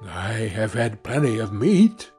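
A man's voice: lowish voice, sing-song, slow, warm tone, faint music behind, voice pushed down. A man voicing a hungry, weary, sorrowful male sheepdog in a fairy tale, with plain delivery. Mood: weary, plaintive.

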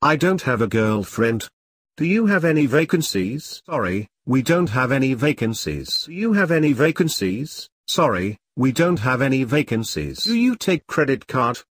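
A man slowly reading short English practice questions and answers aloud, each one twice, in a measured, deliberate way. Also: medium pitch, very animated, slightly rough, no room sound. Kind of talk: slow scripted drill reading.

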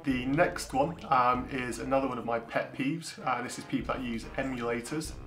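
Young male vlogger, deep voice, flat delivery, echoey room, guitar music under. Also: normal speed. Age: young adult. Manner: frequent ums, steady explaining tone. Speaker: retro gaming YouTuber. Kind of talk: casual tutorial talk to camera. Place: small indoor room. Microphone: camera-mounted shotgun mic. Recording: roomy echo with guitar music behind.

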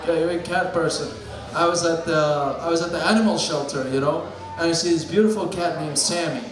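A young man doing a deadpan stand-up set with dark jokes on a bar stage, talking into a handheld mic. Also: on the high side, fast talker. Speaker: stand-up comedian. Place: small bar stage with a live crowd. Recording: echoey room with loud crowd.

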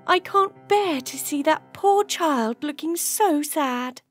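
A woman voicing a kindly, worried mother duck in an animated fairy tale. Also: high voice, takes it slowly, no room sound, soft background music. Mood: gentle, worried.

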